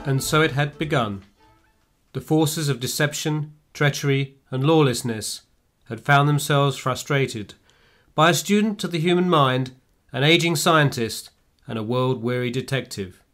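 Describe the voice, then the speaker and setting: A man narrates a radio drama in a scripted, dramatic style. His voice is mid-pitched, with music faintly behind it. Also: a little slow, a bit bright.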